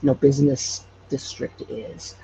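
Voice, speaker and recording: A man explaining things in casual interview talk, as a podcast guest, in a halting way. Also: mid-range voice, little pitch movement, vocal fry. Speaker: podcast guest, local storyteller. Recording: muffled, with faint background noise.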